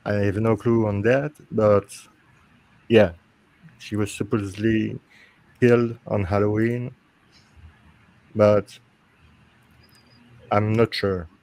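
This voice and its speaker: Belgian man, low voice, hesitant. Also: little pitch movement, slow, pauses often, darkish tone, no echo, rough recording. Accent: Belgian French. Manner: laughs easily, hesitant with fillers. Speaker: host. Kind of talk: casual chat. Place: home, streamed video call. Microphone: video call.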